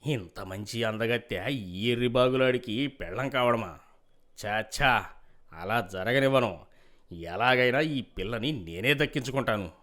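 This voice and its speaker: A man's voice: medium pitch, a little slow, fairly bright tone, natural voice. A man playing a scheming older village headman in a moral story, coaxing and patronizing in rustic speech. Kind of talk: moral story character dialogue.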